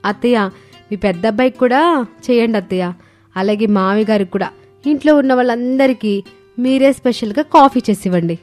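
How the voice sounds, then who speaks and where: A woman's voice: on the high side, a little fast, darkish tone, close to an everyday voice. A woman voicing a silly, earnest young daughter-in-law in a cartoon family story. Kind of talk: cartoon moral-story dialogue. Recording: music under the voice.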